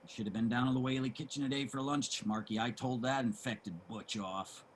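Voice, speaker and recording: An actor playing a brash, boastful, crude homeless ex-sailor with a rough voice in a play reading. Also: male voice, mid-range voice, fairly even intonation, fairly quick, natural voice. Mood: gossiping, mocking. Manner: combative, crude street talker. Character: brash, boastful, gossiping homeless ex-merchant-marine man. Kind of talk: theatrical table reading of a stage play.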